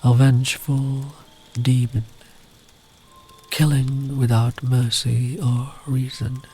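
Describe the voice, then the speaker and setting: Male ASMR storyteller: slow, tender, breathy near-whisper, medium pitch, faint music underneath. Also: level tone. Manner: soft-spoken, often breathy near-whisper; soothing, unhurried, tender. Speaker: ASMR voice performer telling a bedtime story.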